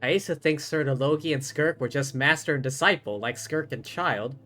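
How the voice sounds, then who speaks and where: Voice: medium pitch, brisk, bright, forceful tone. A game streamer giving casual, informal reaction commentary in a musing way. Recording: faint game music behind.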